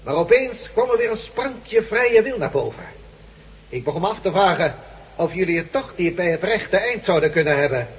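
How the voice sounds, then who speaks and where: A man playing an earnest turncoat who justifies himself haltingly in a science-fiction radio drama. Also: high-pitched, animated. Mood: earnest, hesitant. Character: male turncoat former captive, earnest, pleading, self-justifying. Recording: muffled old radio drama recording.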